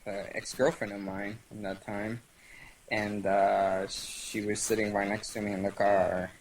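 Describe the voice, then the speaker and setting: A young man from Venezuela whose first language is Spanish, telling stories in a halting casual interview with many fillers. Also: fairly low pitch, level tone, slight background noise, rough recording. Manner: halting, lots of fillers and restarts. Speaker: guest. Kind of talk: casual interview over voice chat.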